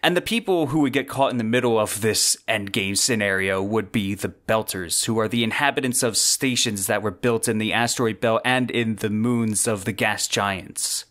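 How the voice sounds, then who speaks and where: A man hosting a podcast, giving a scripted explanation with earnest, deliberate phrasing and occasional conversational asides. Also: lowish voice, fairly bright tone. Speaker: podcast host.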